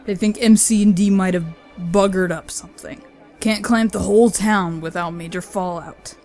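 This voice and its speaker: A woman's voice: mid-range voice, fairly even intonation, moderate pace, a little mellow, soft background music, lightly acted. A woman voicing a male field agent in a horror radio play, matter-of-fact and placating. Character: calm, reasoning male field agent soothing his partner.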